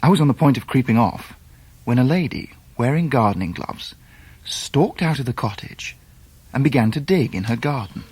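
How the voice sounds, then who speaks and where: An earnest English gentleman telling his own story in a period radio drama. His measured, literary delivery sits at a middle pitch, slightly rough and husky, recorded close and dry. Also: expressive, no echo. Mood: reflective. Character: grown gentleman narrator recalling his boyhood, earnest, measured. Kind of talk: scripted first-person drama narration.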